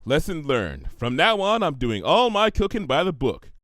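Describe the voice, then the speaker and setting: A man's voice: fairly high pitch, huge pitch swings, normal speed, a bit bright, no room sound, clean studio sound, pitched above the performer's own voice. A man voicing a bookish, well-meaning young female cartoon pony with an earnest, precise, weary manner for a story reading. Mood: exasperated.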